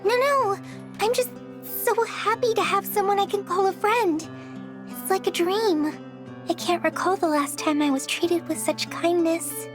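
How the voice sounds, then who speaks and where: A woman's voice: very high voice, unhurried, over music. A woman voicing a wistful, sincere young wandering girl in a video game cutscene. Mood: touched, happy. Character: soft-hearted, wistful mysterious wandering girl.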